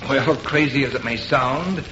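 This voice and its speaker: A man's voice: medium pitch, unhurried, muffled recording, over music, close to an everyday voice. A man playing a polite, restless, earnest painter with formal, hesitant phrasing in 1930s radio drama style. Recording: echoey, noisy 1930s radio recording.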